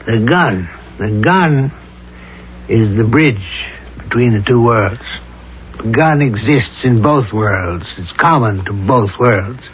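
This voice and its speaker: An actor playing a calm, insistent psychiatrist with a measured, deliberate delivery in a 1970s radio drama. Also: male voice, lowish voice, a little hiss or hum. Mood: insistent, methodical. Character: calm, probing male psychiatrist pressing his patient with theories. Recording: dull lo-fi 1970s radio recording.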